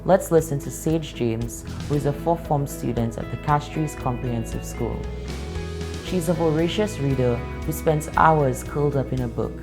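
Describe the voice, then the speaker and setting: A woman's voice: rumbling low, moderate pace. A woman giving a scripted introduction as presenter, with guitar music playing behind. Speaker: student presenter.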